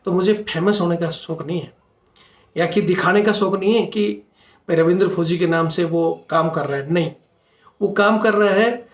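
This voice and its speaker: A man, a channel host making an earnest, insistent informal appeal to his followers. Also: high voice. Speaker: retired soldier speaking as channel host. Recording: echoey room sound.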